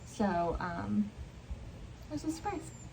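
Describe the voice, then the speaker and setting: A woman's voice: mid-range voice, very animated, slow, crowd noise, low-quality recording. A young woman in casual book-vlog chat, speaking in an informal, hedging, conversational way. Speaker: book vlogger. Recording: clear background noise.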